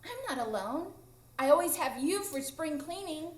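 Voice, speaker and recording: Ageless mischievous boy, woman performer, high voice, very animated, carefree, live radio play in an echoey room. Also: normal speed, faint music behind. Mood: wistful, defensive. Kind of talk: live radio drama acting. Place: improv theatre stage, live audience. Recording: roomy live stage recording.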